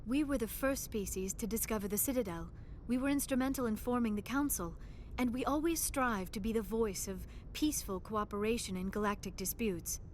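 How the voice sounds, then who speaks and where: A woman's voice: a little high, brisk, slight background noise, lightly acted. An actress voicing a young alien woman scientist in a video game, shy and earnest, with formal, precise diction. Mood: calm explaining. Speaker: archaeologist crew member.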